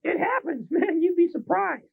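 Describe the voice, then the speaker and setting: A man's voice: very high-pitched, lively intonation, moderate pace, vocal fry, phone-quality sound. A man hosting a public access call-in show, chatting casually with crude, joking banter. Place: small public access TV studio.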